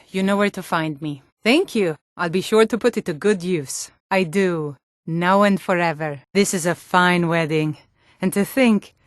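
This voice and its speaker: An actress playing a sturdy, earnest Nord woman in a fantasy video game, with clear, deliberate delivery. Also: lowish voice, dry recording, clean studio sound, natural voice. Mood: grateful, then affectionate.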